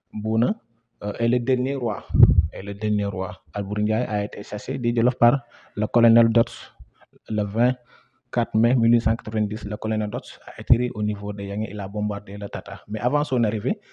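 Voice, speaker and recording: A young man from Senegal, a history student, giving a guided museum tour, hesitant and full of fillers and repeated words. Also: on the low side. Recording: dull lo-fi sound.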